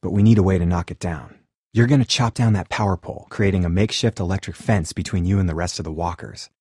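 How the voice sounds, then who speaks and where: An actor playing an awkward, earnest, tech-minded man in a zombie survival video game, speaking in a hesitant, wry, matter-of-fact way with a husky voice. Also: low-pitched, fast talker, darkish tone, no room sound, clean studio sound. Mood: earnest, planning. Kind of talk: naturalistic video game voice acting.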